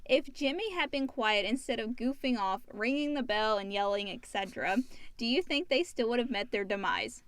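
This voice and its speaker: Female podcast co-host, fairly high voice, dry close recording, reads questions out conversationally. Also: lively intonation, normal speed, a bit bright, dry recording. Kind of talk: reading listener questions, brief agreeing replies.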